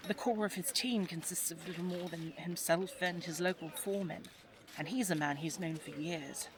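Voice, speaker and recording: A woman's voice: lowish voice, steady tone, normal speed, a crowd in the background, natural voice. A woman playing a spirited, teasing young English lady of the 1920s in an audio drama, chatty and well-spoken with an English accent. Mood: confiding. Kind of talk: audio roleplay drama, addressed to the listener.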